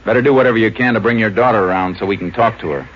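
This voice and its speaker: Actor as a deep-voiced, steady Texas lawman. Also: very fast, natural voice. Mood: businesslike, probing. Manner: clipped, matter-of-fact, authoritative. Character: steady, methodical Texas Ranger investigator and narrator. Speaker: lead actor and narrator. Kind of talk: old-time radio crime drama acting. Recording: muffled vintage radio recording with music.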